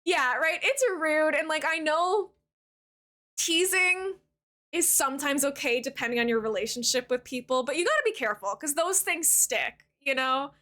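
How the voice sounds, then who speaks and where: A woman in her thirties with a very high, bright, ringing voice talks casually to her live-stream chat. She rambles and fills her sentences with "like", and she warmly thanks new followers. Her tone is open and conversational. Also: animated, normal speed. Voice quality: high-pitched voice. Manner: chatty, rambling, many "like" fillers. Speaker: Twitch streamer.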